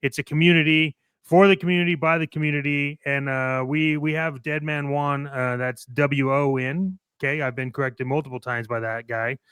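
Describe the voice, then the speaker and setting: A man co-hosting a toy collectors' show, giving casual, playful promotional shout-outs like a pitchman. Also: fairly even intonation, moderate pace, hardly any pauses, clear, resonant tone, dry recording.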